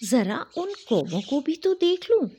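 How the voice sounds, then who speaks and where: A woman's voice: high-pitched, big pitch swings, a little slow, dark tone, a little hiss or hum, voice pushed up. A woman voicing a small, devout, self-assured female myna bird in a folk tale, speaking earnestly. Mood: curious.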